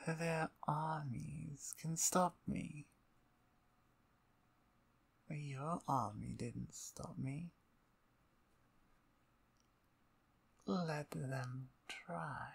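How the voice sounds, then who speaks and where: Woman acting a breathy, slow-talking vampire conqueror. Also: low-pitched, exaggerated voice. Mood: defiant, menacing. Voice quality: breathy, often near a whisper. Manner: imperious, taunting, deliberate. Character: dominant, playful, menacing female vampire conqueror. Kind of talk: ASMR roleplay acting.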